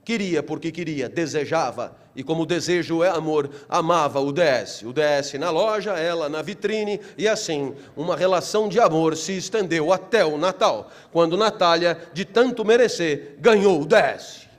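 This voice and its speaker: A middle-aged man with a Brazilian accent gives a motivational philosophy lecture in a fairly high voice. His delivery is theatrical, full of rhetorical questions and repetition. Slow, deliberate phrases climb into loud, animated peaks. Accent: Brazilian Portuguese.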